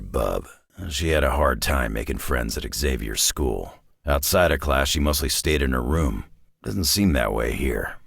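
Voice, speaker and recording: A grizzled old superhero brawler for a video game, with an extremely deep voice and a husky North American growl. He is a sardonic loner who talks in clipped, dry lines, and his pitch swings widely. The sound is close and dry. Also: a bit bright, no echo, heavily acted. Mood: dry, gruff. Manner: dry, sardonic, clipped tough-guy delivery.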